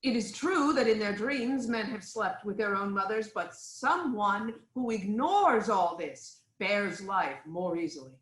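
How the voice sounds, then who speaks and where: Slow, stately tragic queen. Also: mid-range voice, lively intonation, fairly bright tone, roomy sound, rough recording. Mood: reassuring, firm. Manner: stately, deliberate, formal. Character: regal queen, anxious wife and mother, firmly reassuring. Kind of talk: dramatic reading of Greek tragedy.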